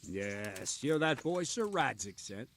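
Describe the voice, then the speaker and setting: Gruff, lively medieval guard captain. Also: male voice, mid-range voice, slight background noise, exaggerated voice. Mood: brusque. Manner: brisk, instructive, commanding. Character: gruff, seasoned guard captain and weapons instructor. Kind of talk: video game drama acting.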